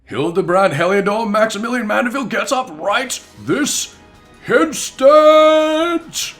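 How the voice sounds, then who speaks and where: A man voicing a grandiose, theatrical gentleman father and brawny hero, declaimed melodramatically from game dialogue. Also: high-pitched, animated, takes it slowly, voice pushed up, heavily acted. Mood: commanding. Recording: music behind the voice.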